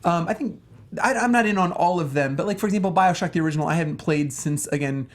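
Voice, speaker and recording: A man's voice: on the high side, quick pace. A man co-hosting a casual panel chat, enthusiastic and joking. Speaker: panel show co-host. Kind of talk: casual chat about video games.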